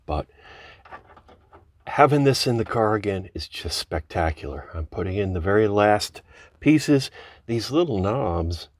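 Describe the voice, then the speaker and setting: A man's voice: lowish voice, dry recording, studio quality. A man hosting a car hobby video, narrating his hands-on work casually, musing aloud and easily amazed. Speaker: host of a car hobby channel.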